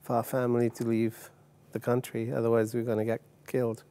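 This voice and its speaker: Halting, mellow-voiced man. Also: medium pitch, completely flat delivery. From Uganda. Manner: halting, many fillers and restarts. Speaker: guest. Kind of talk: telling his life story in a TV interview. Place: TV studio set. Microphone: lapel mic.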